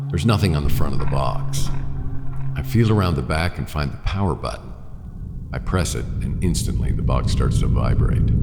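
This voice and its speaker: A man playing a hard-boiled detective who narrates his own story in a radio drama, gravelly and measured, with brooding suspense. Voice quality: gravelly, very deep. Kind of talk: first-person scripted radio drama narration. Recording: long reverb, music underneath.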